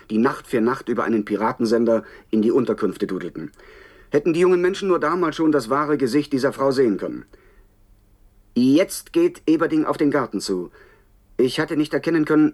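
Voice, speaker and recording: A man's voice: medium pitch, darkish tone, close to an everyday voice. Scornful man reporting live as an actor. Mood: scornful, excited. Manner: scornful, dramatic running commentary. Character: indignant, scornful male TV reporter at an internment camp. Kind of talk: German radio play, live broadcast commentary.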